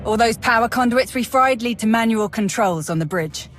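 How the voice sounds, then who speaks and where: A woman's voice: fairly high pitch, lively intonation, normal speed, faint music behind, lightly acted. A woman playing a cocky, wisecracking Imperial military officer in a video game, with a breezy, plain-spoken, sardonic manner.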